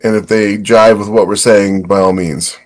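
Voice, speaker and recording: A man with a fairly low voice hosts a podcast. He talks informally, in the loose style of a chat between colleagues. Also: steady tone, moderate pace. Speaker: podcast host.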